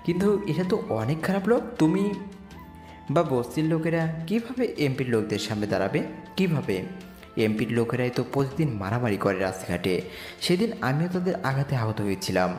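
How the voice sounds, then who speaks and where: A humble, earnest young man from the slums played by a male actor narrates and speaks in a romantic audio story. His mid-pitched voice moves expressively, washed in long reverb over music. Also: expressive, brisk, a little mellow, close to an everyday voice. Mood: worried. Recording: echoey reverb with music bed.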